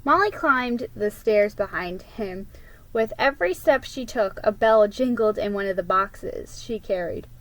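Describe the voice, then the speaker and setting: A woman's voice: mid-range voice, animated, measured pace, dry recording, rough recording. A woman giving a dramatic reading of a children's story, expressively acting out the dialogue. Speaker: storybook reader.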